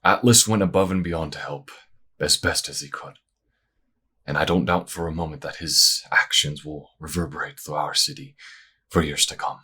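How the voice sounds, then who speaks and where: An actor playing a caring priest and devoted partner in a fantasy audio drama, speaking gently and reassuringly. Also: male voice, extremely low pitch, steady tone, normal speed, slightly rough, heavily acted. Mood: proud toast. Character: Leo, caring priest, warm devoted partner and party host.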